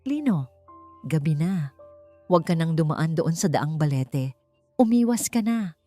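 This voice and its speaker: A woman playing a worried elderly lady with a Filipino accent who gives soft, grave warnings in an audiobook. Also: deep voice, lively intonation, a little slow, soft background music.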